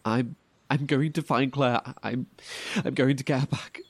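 An actor in an audio horror drama playing an anxious, devoted brother, halting and stammering with emotion. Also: male voice, medium pitch, fairly even intonation, no echo, lightly acted. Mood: emotional, hopeful. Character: anxious, devoted, grief-stricken brother surviving apart.

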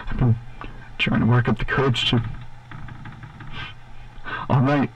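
A man playing a despairing radio DJ, halting and hesitant. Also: mid-range voice, flat delivery, a little hiss or hum, natural voice, rough recording. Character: haunted male radio DJ alone in a booth.

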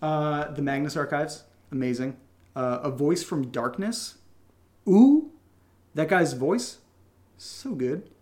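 Youngish guy podcast host, kinda high voice, jokey and informal, just chatting. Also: expressive, slow pace, long pauses, darkish tone. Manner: playful, joking, informal. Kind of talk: casual unscripted Q&A chat.

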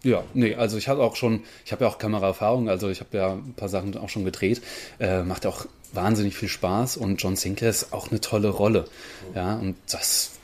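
A man's voice: fairly low pitch, fairly even intonation, a little fast, darkish tone. A man, a stage actor, talking casually as a guest in an interview.

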